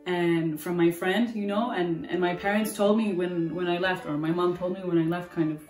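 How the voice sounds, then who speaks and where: A woman's voice: fairly low pitch, steady tone, moderate pace, a little mellow. A young American woman in her twenties telling her story in a candid, rambling interview. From United States. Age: 20s. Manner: candid, rambling, full of restarts. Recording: roomy distant mic with music. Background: guitar music.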